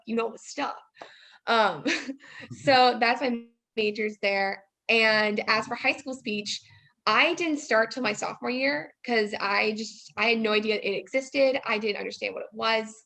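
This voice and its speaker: A woman's voice: on the high side, vocal fry, a bit bright. A young woman, chatting casually as an interview guest. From Iowa, United States. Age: young adult. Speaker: guest, former speech student and teacher.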